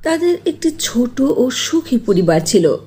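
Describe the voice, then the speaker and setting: A woman narrates a scripted cartoon tale in a fairly high, husky voice. Her tone is mellow, and her storytelling rises and falls in an animated way. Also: a little slow.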